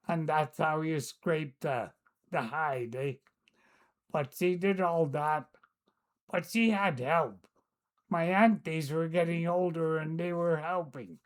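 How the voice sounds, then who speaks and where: Slow, hesitant older woman. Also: low-pitched. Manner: slow, hesitant, searching for words. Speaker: storyteller. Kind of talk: casual recollection.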